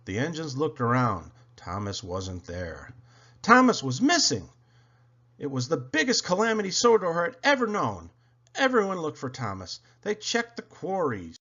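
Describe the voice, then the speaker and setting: A man reading a children's story aloud in an expressive, dramatic, deliberate way. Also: on the high side, lo-fi sound. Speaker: storybook reader.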